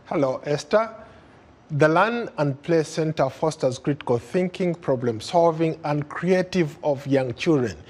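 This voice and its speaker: A man's voice: on the high side, animated, unhurried, darkish tone. A man presenting a scripted introduction as a technology correspondent on the news.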